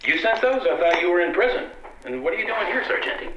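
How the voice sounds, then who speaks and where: A man's voice: high voice, lively intonation, fast. A man playing a wry, condescending married detective with dry, theatrical delivery in an old-time radio drama. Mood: suspicious. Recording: echoey, dull recording with clear noise.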